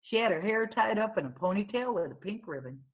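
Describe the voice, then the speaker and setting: A woman reading a children's book aloud as an expressive storyteller, on a thin, phone-like recording. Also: medium pitch, fairly quick. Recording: thin, phone-like narrow-band sound.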